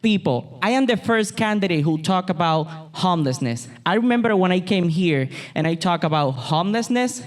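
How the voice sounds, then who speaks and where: A man's voice: high-pitched, lively intonation, normal speed, few pauses. Man with a Puerto Rican accent, campaigning. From Puerto Rico. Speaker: political candidate. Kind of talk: campaign speech.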